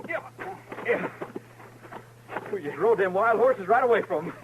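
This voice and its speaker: A man's voice: high voice, animated, measured pace. An actor playing an eager, boastful young frontier boy in a 1950s radio western. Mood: excited, boastful. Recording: dull, noisy old radio recording.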